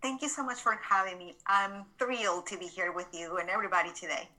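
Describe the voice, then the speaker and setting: A bright, clear-toned woman. She is a podcast guest recounting her life in an earnest, reflective manner, often restarting her phrases as she thinks. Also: fairly low pitch, steady tone, fast, poor audio. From Venezuela. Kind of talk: personal storytelling in an interview.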